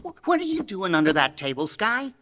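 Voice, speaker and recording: A man playing an eager, excitable young nephew in an old-time radio adventure, with a gee-whiz, hesitant delivery. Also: sits high, a little fast, exaggerated voice. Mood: excited, surprised.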